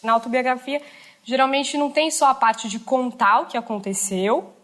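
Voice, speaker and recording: A woman's voice: high-pitched, fairly even intonation, moderate pace. A woman educator explaining a concept in a casual lesson style, dropping frequent "né" fillers.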